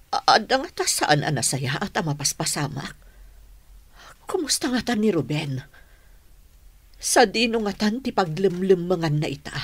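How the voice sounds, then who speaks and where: A woman's voice: fairly low pitch, dry recording. A woman acting in an Ilocano radio drama as an anxious, caring figure, earnest and dramatic. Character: anxious, caring woman fretting over loved ones.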